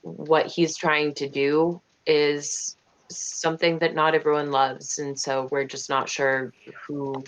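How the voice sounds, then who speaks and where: A woman playing a tabletop game, speaking earnestly and pleadingly in character, with fillers. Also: low-pitched. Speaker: player. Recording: dull, slightly lo-fi online audio.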